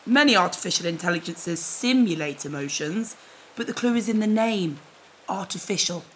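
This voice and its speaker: Woman voicing a shady, low-pitched alien bear trader. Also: animated, normal speed, fairly bright tone, slight background noise, rough recording. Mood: sceptical. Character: shady, matter-of-fact female alien bear-like repair trader. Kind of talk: sci-fi audio drama acting.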